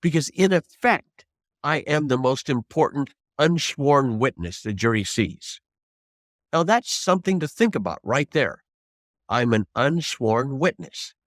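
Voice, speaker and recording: A man's voice: medium pitch, expressive, dry recording, clean studio sound. Man in his seventies, emphatic teacher. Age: 70s. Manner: emphatic, deliberate, with repeated rhetorical prompts. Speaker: trial lawyer, interview guest. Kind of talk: conversational teaching of advocacy tips.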